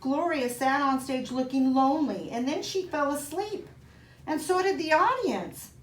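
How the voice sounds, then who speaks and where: A woman reading a children's picture book aloud in an expressive, child-directed way, with chatty asides to young listeners. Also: sits high, measured pace. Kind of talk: animated storybook read-aloud for children with casual asides. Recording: roomy echo, some background noise.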